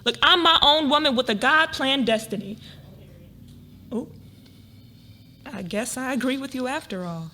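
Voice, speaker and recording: Skeptical, independent young woman, acted by a woman, higher-pitched, expressive, colloquial, live stage reading with faint noise. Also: unhurried, long pauses. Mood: doubtful, tempted. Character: wary, independent young female college graduate being courted. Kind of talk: live dramatic reading of a novel. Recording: noisy live room recording.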